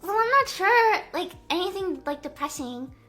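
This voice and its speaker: Bright, bubbly young woman streamer. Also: very high voice, lively intonation, faint music behind. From US Midwest. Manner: bubbly, playful, heavy "like" filler, drawn-out vowels. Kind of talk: casual live-stream chat reading comments.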